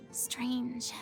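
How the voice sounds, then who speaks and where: A woman's voice: fairly high pitch, vocal fry, heavily acted. An actress voicing a proud, girlish former deity for a video game, with a dramatic, refined, haughty delivery that hides melancholy. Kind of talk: English video game character voice acting.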